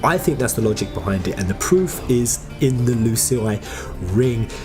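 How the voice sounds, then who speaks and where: Animated fan-theory commentator over music. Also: mid-range voice, normal speed. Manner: emphatic, informal, exclamatory. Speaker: video host, fan theorist.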